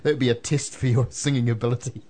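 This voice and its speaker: A New man hosting a radio show, jovial and teasing in relaxed chat. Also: medium pitch, fast. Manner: jovial, teasing, laughs often.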